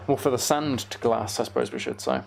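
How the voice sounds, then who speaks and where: A young man giving casual, meandering gameplay commentary on a live stream, thinking aloud and chatting to viewers, with a creaky voice. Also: mid-range voice, very animated, a little fast.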